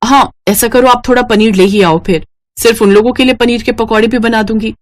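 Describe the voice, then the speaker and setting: A woman's voice: fairly high pitch, steady tone, a little fast, close to an everyday voice. A woman playing a polite, reassuring and thrifty Indian housewife in a scripted moral story. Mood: practical. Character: thrifty, dutiful middle-class Indian housewife, patient and caring.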